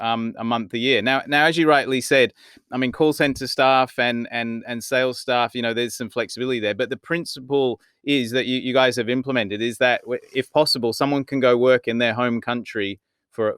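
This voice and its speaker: A man's voice: mid-range voice, steady tone, normal speed, fairly bright tone, clean tone, dry recording, studio quality. A man hosting a podcast who asks long, rambling questions and repeats words as he frames them. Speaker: podcast host. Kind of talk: interview questions. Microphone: video podcast mic.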